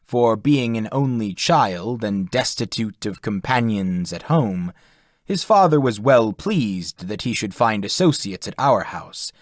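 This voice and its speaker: A man reading literary prose as an audiobook narrator, in a measured, formal manner. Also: lowish voice, dry recording, clean studio sound.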